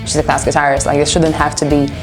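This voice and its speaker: A young woman with a low voice speaks casually in an interview. She comes across as earnest and opinionated, and music plays clearly behind her. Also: level tone, fast. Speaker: music student and band musician being interviewed.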